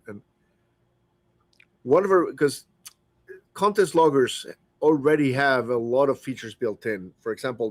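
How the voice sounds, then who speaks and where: A man's voice: medium pitch, no room sound. Explaining man, dry close sound. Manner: explanatory, stumbles on first words. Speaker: ham radio operator. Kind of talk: casual technical chat.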